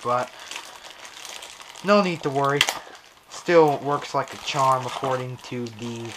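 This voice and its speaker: A man casually narrating a hands-on unboxing video in halting, pieced-together phrases, recorded on an action camera. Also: mid-range voice, noisy background. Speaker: vlogger unboxing a weather radio. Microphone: action camera on head strap, later monopod.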